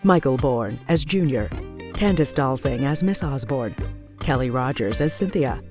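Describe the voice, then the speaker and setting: A woman's voice: rumbling low, expressive, unhurried. A woman reading scripted narration and credits as the narrator of an audio drama. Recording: music behind the voice.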